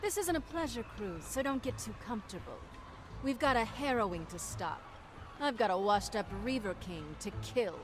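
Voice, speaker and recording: A woman's voice: a little high, animated, normal speed, music in the background, close to an everyday voice. An actress playing a confident, teasing female pirate captain in a video game. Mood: commanding. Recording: noisy ambient game soundscape behind.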